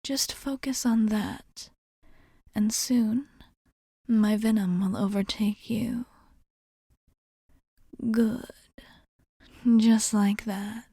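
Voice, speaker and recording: A woman playing a calm, attentive vampire bartender in an ASMR roleplay, with soft, whispered, formal and reassuring speech. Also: mid-range voice, breathy edge, no room sound, clean studio sound, full character voice. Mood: hushed, soothing. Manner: slow, soothing, formal and reassuring.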